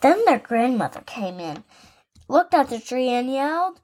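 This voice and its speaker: A woman reading a children's picture book aloud as podcast host, in an animated, expressive way with asides. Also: sits high, measured pace. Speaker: story reader, podcast host.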